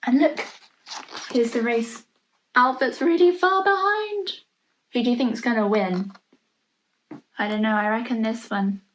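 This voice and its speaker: Woman storytime reader, high voice, very slow delivery, playful and expressive with chatty asides; home recording with clear background noise. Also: low-quality recording. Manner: expressive, playful, addresses the listener with questions. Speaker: storybook reader for young children. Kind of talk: read-aloud storytime with chatty asides about the pictures.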